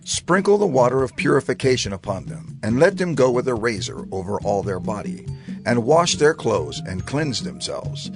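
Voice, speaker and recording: Man, scripture reader, mid pitch, animated intonation, formal instruction, backing music. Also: moderate pace. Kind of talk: dramatized scripture reading.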